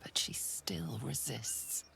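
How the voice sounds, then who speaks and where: A woman performing as the narrator of a dark fantasy game, speaking in a deliberate, hushed, ominous manner. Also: rumbling low, vocal fry, in a whisper, heavily acted. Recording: music behind the voice.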